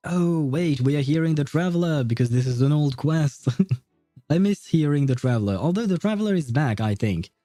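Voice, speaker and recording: A man's voice: on the high side, expressive, normal speed, mellow, dry recording, studio quality, soft background music. A man livestreaming a video game, chatting casually and in a friendly, rambling way as he comments and reads dialogue aloud. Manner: chuckles often, rambling and friendly.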